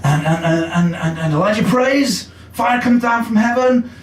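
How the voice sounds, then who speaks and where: A man's voice: sits high, expressive, measured pace, echoey room, recorded from a distance, slight background noise. An English man performing a chatty, self-deprecating stand-up comedy set in a basement comedy club. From England.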